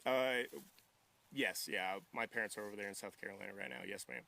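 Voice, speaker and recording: A man's voice: lowish voice, brisk, gravelly, pushed and bright, slight background noise. A young man giving casual interview answers in a polite, modest, easygoing manner. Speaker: interview guest, military veteran and welder.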